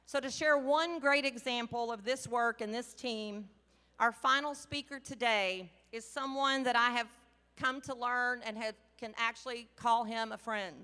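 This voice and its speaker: A woman's voice: a little high, sharp tone, clean tone, long reverb tail. A woman giving an earnest, emphatic speech as the event's emcee. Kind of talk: public speech. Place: large hall at a public event.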